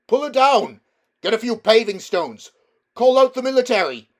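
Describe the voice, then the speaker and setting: Man acting a high, shouting street heckler. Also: sing-song, moderate pace. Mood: angry. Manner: shouting, mocking. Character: rowdy, jeering street crowd men. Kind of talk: storybook character reading.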